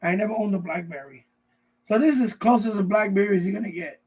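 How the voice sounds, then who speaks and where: A middle-aged man hosting a casual live stream, rambling and often repeating himself, with a husky voice. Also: sits high, fast talker, a little mellow, rough recording. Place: basement.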